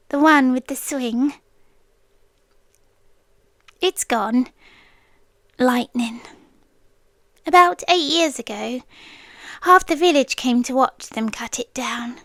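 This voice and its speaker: A British woman playing a wistful, devoted, inhuman childhood friend from the forest in an ASMR roleplay, speaking softly and intimately and often slipping into whispers. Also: sits high, no echo, studio quality, full character voice. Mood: teasing, playful, then wistful, hushed. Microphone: close, dry studio-style microphone.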